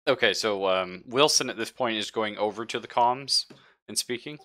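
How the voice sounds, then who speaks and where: A man narrating a tabletop game as game master, in informal, halting descriptive phrases. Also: fairly low pitch, sharp tone.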